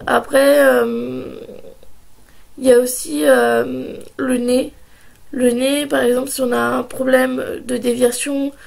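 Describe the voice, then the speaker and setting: A woman in her early twenties, hoarse from a sore throat and a cold, talking in a hesitant casual vlog with many pauses and restarts. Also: high voice, flat delivery, a little mellow. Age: 20s. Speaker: vlogger.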